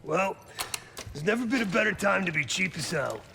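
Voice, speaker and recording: An actor voicing an irreverent male operative in a video game, casual and needling, with a creaky voice. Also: high voice, very animated, moderate pace, noisy background, heavily acted. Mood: wry, teasing. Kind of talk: cinematic video game acting.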